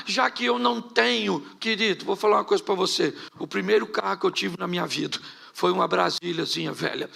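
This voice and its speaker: Gravelly, bright-voiced Carioca pastor. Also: male voice, high voice. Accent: Carioca Portuguese. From Rio de Janeiro, Brazil. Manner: jokey storytelling, rhetorical questions to the crowd. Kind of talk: humorous live sermon. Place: church hall before a congregation.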